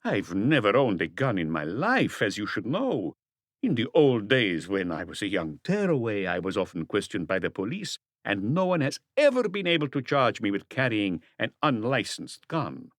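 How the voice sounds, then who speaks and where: A man voicing a suave, guarded crook turned businessman in an audiobook, with slow, smoothly polite, deliberate speech. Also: on the low side, sing-song, no room sound, clean studio sound. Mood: guarded, indignant. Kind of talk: audiobook character dialogue.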